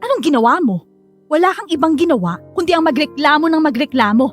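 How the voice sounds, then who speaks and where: A woman's voice: sits high, animated, fast talker, faint music behind. A woman acting in a radio drama as a feisty, supportive young daughter. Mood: indignant.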